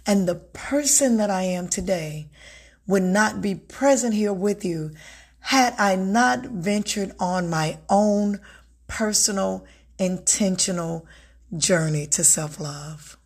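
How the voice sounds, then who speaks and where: A woman with a raspy, husky voice hosts a heartfelt self-help podcast. She speaks slowly and deliberately, often repeating key words, with a gravelly fry and a slight strain left over from being sick. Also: medium pitch. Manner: earnest, repeats words for emphasis. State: recovering from illness, slightly strained voice. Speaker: podcast host.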